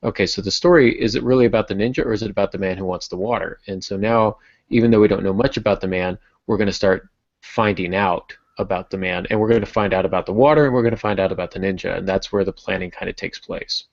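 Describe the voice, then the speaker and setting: A man hosting a podcast in casual discussion, thinking aloud in a halting way. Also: fairly low pitch, creaky, no echo, highs cut off. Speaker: podcast host.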